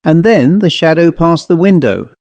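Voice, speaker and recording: A man's voice: on the high side, animated, normal speed, darkish tone, no room sound, dull audio, pitched above the performer's own voice. A man voicing an earnest, anxious country woman, an aunt in a storybook, hesitant yet insistent.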